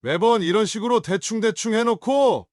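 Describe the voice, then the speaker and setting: An actor playing a drunk, contemptuous adult son in an audio drama, talking in curt, scornful demands. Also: male voice, high voice, moderate pace, a little mellow, dry recording, studio quality, lightly acted.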